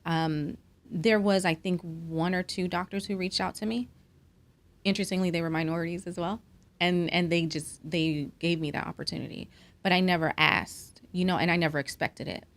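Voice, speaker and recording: A woman's voice: on the low side, fairly even intonation, normal speed. A woman doctor speaking as a podcast guest, telling her story in an earnest, reflective way with frequent fillers. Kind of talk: conversational interview.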